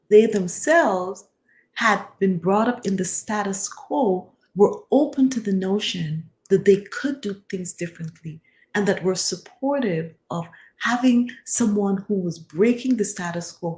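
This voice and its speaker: A woman from the Caribbean, born in Guyana and raised in Barbados, a guest author telling her story in an interview with an earnest, measured manner. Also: mid-range voice, darkish tone. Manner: earnest and measured, builds lists of phrases.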